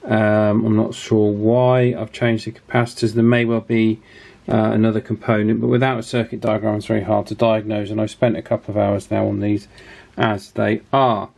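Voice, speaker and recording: A man's voice: on the low side, flat delivery, moderate pace, creaky, a little mellow. A man hosting a casual hands-on demonstration, chatting and thinking aloud as he goes. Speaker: host of radio repair channel.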